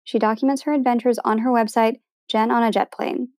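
A woman podcast host with a fairly high voice, upbeat and friendly as she introduces the show and questions her guest. Her voice is recorded dry and close. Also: steady tone, fairly quick, a little mellow, no room sound. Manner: upbeat and friendly, reads ad copy smoothly.

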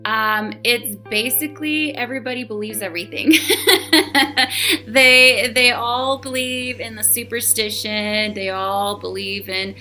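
A woman guest who grew up between the US and Argentina talks in a loose, chatty way. Her voice is bright and forward, and her answers run with fillers and easy laughter. Faint music plays behind her. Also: fairly high pitch, fairly even intonation, unhurried. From United States and Argentina. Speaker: guest, paranormal investigator. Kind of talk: casual interview chat.

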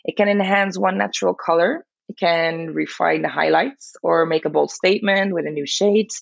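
A woman from Belgium speaks as an in a podcast interview. Her voice is fairly low and gravelly with creak, heard over a dull, lo-fi connection. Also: steady tone, moderate pace, dry recording. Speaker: guest salon owner and educator.

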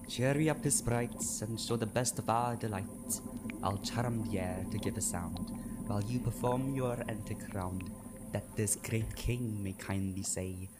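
A man acting an eerie, coolly taunting witch in a measured, incantatory Shakespeare reading. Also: lowish voice. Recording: ominous music behind the voice.

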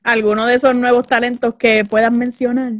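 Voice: mid-range voice, level tone, fairly quick, no room sound. A podcast host interviewing a guest in casual chat, dropping short encouraging replies.

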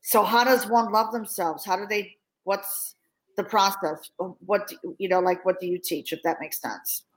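A woman's voice: medium pitch, fairly even intonation, normal speed, low-quality recording. A woman hosting a podcast, affirming her guest and posing long questions with restarts. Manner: warm encouraging interviewer, affirming, with restarts when asking. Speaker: podcast host. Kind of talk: interview hosting.

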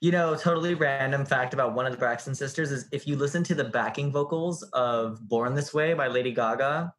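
Giggly podcast co-host. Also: male voice, mid-range voice, a little fast, a bit bright. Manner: giggly, chatty, laughs often. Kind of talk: casual chat.

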